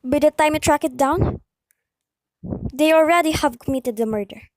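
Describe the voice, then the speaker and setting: A woman's voice: high-pitched, moderate pace, a little hiss or hum, close to an everyday voice. A woman playing an anxious, demanding bedridden wife in a radio play, with an agitated, pleading, dramatic manner. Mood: anxious, insistent.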